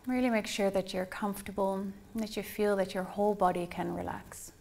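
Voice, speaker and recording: Woman doing guided meditation, soothing and unhurried, kinda even tone w lots of vocal fry. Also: medium pitch, slight background noise. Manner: soothing, unhurried, gently instructing. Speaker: meditation guide, yoga instructor.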